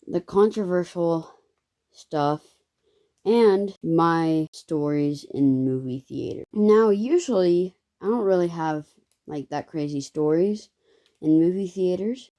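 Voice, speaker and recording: Slow, mellow, halting young girl. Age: child, eleven. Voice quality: occasionally squeaky voice. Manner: halting, rambling. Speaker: first-time video creator. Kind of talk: casual storytelling to viewers.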